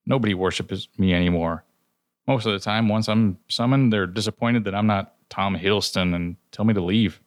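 A man's voice: fairly low pitch, normal speed, no room sound, studio quality, lightly acted. A man voicing a peevish, foul-mouthed male trickster god who snaps at everyone. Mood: irritated. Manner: exasperated, snapping.

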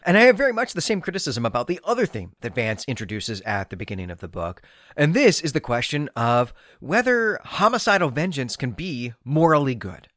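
A man's voice: medium pitch, very animated, a little fast, fairly bright tone, dry recording. A man hosting a literary podcast, giving analytical, bookish commentary with emphatic phrasing. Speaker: podcast host.

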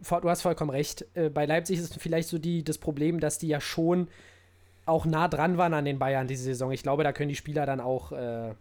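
A man's voice: fairly high pitch, level tone, a little fast, darkish tone. A man talking football casually, hesitating and restarting his sentences often.

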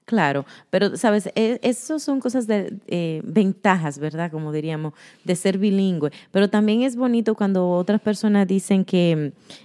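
A woman's voice: mid-range voice, normal speed, dark tone, clear, resonant tone. A woman in her thirties speaking openly in casual conversation, laughing often and dropping English words into her speech.

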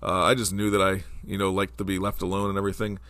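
A very deep-voiced man in his forties hosts his own podcast, rambling along casually with plenty of ums and restarts. He sounds stuffed up from a cold, and the close mic leaves his voice dry with no room around it. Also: monotone, fast talker, fairly bright tone, no echo. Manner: rambling, conversational, many fillers. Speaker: podcast host. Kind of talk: casual solo political podcast.

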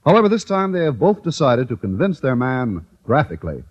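A man's voice: medium pitch, big pitch swings, a little fast, breathy edge. A man with an American accent reading scripted announcements and narration in a 1950s radio style. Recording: muffled old-time radio recording.

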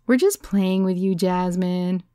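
A woman's voice: on the low side, a little slow, mellow, clean tone, no echo, clean studio sound, close to an everyday voice. A woman voicing a brash teasing Greek matchmaker. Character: chatty older Greek woman, teasing, knitting.

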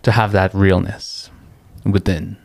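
A young man from the Philippines, a guest talking in a relaxed, reflective way, pausing often and laughing easily. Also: low voice, creaky, no echo. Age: young adult. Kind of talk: casual interview chat.

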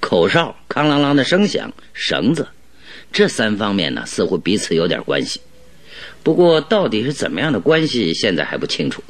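A man's voice: lowish voice, very animated, normal speed. A man acting a shrewd, self-assured detective in a radio drama, reasoning aloud in an expansive, conversational way. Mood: musing, teasing.

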